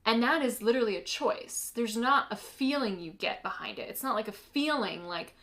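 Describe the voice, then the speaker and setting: A woman's voice: a little high, moderate pace, a bit bright. A woman from the United States whose first language is Polish, hosting a casual solo podcast as a business coach, chatty and earnest with lots of "like" and "you know".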